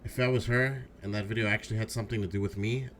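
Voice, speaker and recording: Man voicing an earnest, low-voiced teenage schoolboy hero. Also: fairly even intonation, racing, fairly bright tone, a little hiss or hum, natural voice. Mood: hurt, brooding. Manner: earnest, emotional. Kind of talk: fan voice acting of a video game.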